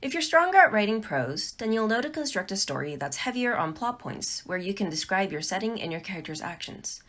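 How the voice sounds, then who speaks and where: Lady reading a tutorial script, explainer style, super animated pitch, mid-range voice. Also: fairly quick, hardly any pauses. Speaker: presenter of a tutorial talk. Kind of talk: scripted narration for an instructional video.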